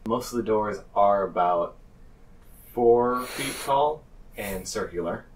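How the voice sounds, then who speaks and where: A man narrating a tabletop game as game master, halting and pausing between phrases. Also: fairly low pitch, a little hiss or hum. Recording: distant microphone.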